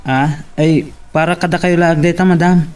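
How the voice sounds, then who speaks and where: A man's voice: a little high, little pitch movement, moderate pace, darkish tone, clean tone, recorded from a distance, lightly acted. A man playing a respectful office errand worker in an Ilocano radio drama.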